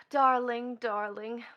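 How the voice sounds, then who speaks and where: An actress playing a brazen, scornful young stepdaughter in a radio stage play, theatrical and mocking, with sudden swells and drops. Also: female voice, on the high side, fairly even intonation, extremely slow, bright, forceful tone, lo-fi sound, slight background noise, exaggerated voice. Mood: bitter, dramatic.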